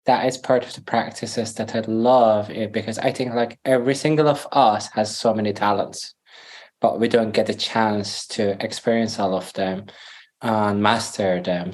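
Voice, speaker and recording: Man telling his story. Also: fairly low pitch. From Iran. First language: Farsi. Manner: animated storytelling, acts out his own past dialogue, laughs often. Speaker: podcast guest. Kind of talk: conversational interview.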